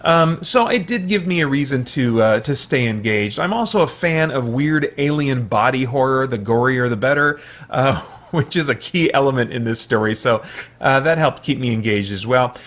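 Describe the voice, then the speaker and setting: A man's voice: medium pitch, lively intonation, normal speed, a bit bright. Rambling male movie reviewer. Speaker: movie reviewer, video host. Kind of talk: casual unscripted film review.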